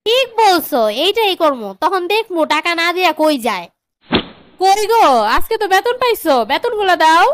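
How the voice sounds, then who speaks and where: A woman's voice: very high-pitched, lively intonation, normal speed, fairly bright tone. A woman voicing a bossy, money-grabbing young cartoon wife with a commanding, nagging manner and a Bangladeshi accent. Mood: complaining, scheming, then demanding, defiant. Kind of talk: comedy cartoon dialogue.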